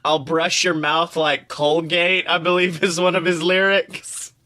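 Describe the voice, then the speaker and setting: Bright, higher-voiced young man chatting. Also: unhurried. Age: young adult. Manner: animated, slangy, profane, mock-serious. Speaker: podcast co-host. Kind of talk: casual comedic chat.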